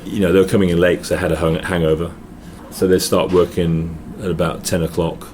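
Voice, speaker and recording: A man's voice: low-pitched, level tone, a little fast, a little mellow. A middle-aged Englishman with an English accent, a guest in casual chat, telling stories with frequent restarts in a noisy hotel. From England. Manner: storytelling, restarts with repeated words.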